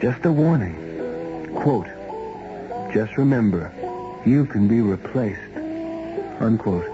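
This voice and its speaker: A man's voice: fairly low pitch, expressive, slow pace. An actor playing a decent, plainspoken man, dry and a little awkward, in a 1970s radio drama. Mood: dry, resigned. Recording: dull old radio recording, music behind.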